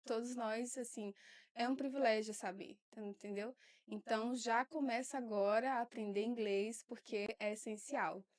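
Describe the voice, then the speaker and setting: A young woman, a guest on a podcast, speaking warmly but hesitantly with many fillers. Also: fairly high pitch, fairly even intonation, moderate pace. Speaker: guest volunteer. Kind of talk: casual interview.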